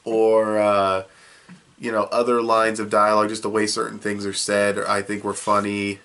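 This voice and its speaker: A man's voice: lowish voice, completely flat delivery, moderate pace, fairly bright tone. A young man with a cold, sniffling as he reviews a show in a loose, rambling way full of fillers. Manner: rambling, loose and offhand, with many fillers and restarts. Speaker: video reviewer. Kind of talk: casual video review.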